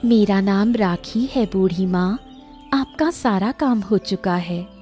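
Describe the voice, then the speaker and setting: A woman's voice: medium pitch, takes it slowly, dark tone, lightly acted. A woman voicing a polite, earnest young village woman in a Hindi cartoon moral story. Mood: gentle, confiding. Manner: respectful, patient, reassuring. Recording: music bed under the voice.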